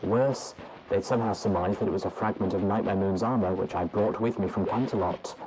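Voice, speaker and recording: A man reading a story's journal entries aloud in a measured, formal way. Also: lowish voice, background noise. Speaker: narrator. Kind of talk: audiobook narration of journal entries.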